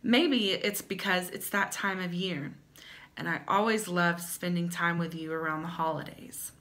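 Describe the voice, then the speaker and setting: A young woman hosting a vlog, giving dramatic comedic readings with wry asides in a theatrical, sardonic manner and emphatic pauses. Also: fairly low pitch, fairly even intonation, fairly bright tone. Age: young adult. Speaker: vlog host. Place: small plain-walled room at home.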